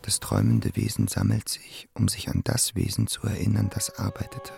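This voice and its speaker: An actor playing a quiet young poet and bricklayer, gentle and brooding, in a German radio play. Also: male voice, fairly low pitch, very animated, normal speed, creaky, faint music behind, full character voice. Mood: pensive. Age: young adult.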